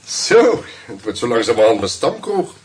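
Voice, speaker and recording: An actor playing a jovial, well-dressed friend who jokes and chats cheerfully at a dinner, in a radio play. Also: male voice, medium pitch, big pitch swings, moderate pace, background noise, lightly acted. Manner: cheerful, joking.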